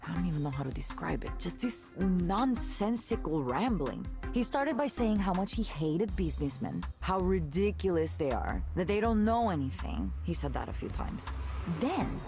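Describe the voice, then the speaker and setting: A weary young woman who has had enough of the tech world. Her voice sits on the low side with a gravelly fry. She talks candidly and wryly, with halting asides, over faint music in a visual novel. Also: sing-song. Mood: wry disbelief. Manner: conversational, wry, emphatic with halting asides. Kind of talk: naturalistic visual novel voice acting.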